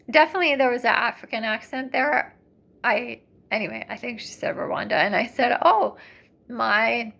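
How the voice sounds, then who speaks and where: A woman with a fairly high voice and a noticeable creak at the edges talks in a casual, chatty style. She rambles through a personal story, becoming animated when she recounts what people said. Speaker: vlogger.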